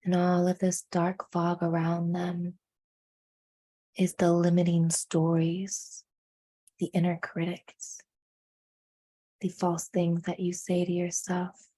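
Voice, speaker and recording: A woman leading a guided meditation in a hushed, soothing manner, with deliberate phrasing and frequent pauses. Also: on the low side, monotone.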